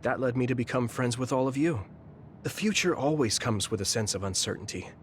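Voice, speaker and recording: A man playing an earnest, steady young soldier in a video game, speaking in a measured, kindly way. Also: mid-range voice, a little hiss or hum, natural voice. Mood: gentle, encouraging. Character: earnest young male soldier, kind, steady, easily exasperated. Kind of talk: English video game voice acting. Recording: music behind the voice.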